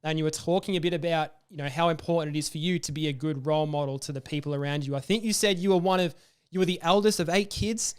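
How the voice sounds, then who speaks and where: Fast-talking Australian podcast host. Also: male voice, on the high side, little pitch movement, clear, resonant tone. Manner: friendly, rambling questions with many fillers. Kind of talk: casual interview.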